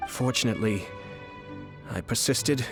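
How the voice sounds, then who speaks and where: An actor playing a composed, protective young aristocratic commissioner in a video game, speaking in measured, formal, eloquent phrases with quiet authority and cool menace. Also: male voice, mid-range voice, music in the background, close to an everyday voice. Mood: solemn, reflective. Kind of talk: dramatic video game dialogue.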